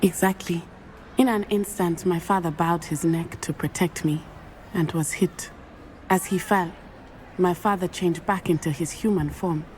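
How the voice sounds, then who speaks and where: A woman voicing an earnest young female student who calmly tells how her father died, in a video game cutscene. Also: on the low side, measured pace, a little hiss or hum, close to an everyday voice, low-quality recording. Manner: earnest, composed storytelling.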